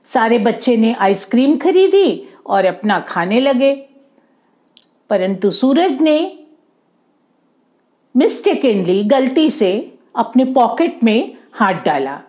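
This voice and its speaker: A woman's voice: a little high, big pitch swings, slow pace, dark tone. A woman telling a moral story to children, often rephrasing herself and mixing in English words. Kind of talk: oral moral storytelling for kids.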